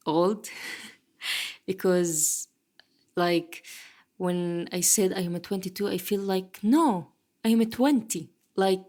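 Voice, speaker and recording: A woman in her twenties from Gaza, a journalist speaking English as a second language in a halting, reflective interview. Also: fairly low pitch. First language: Arabic. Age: 20s. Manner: halting, reflective, with soft laughs. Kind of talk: conversational interview.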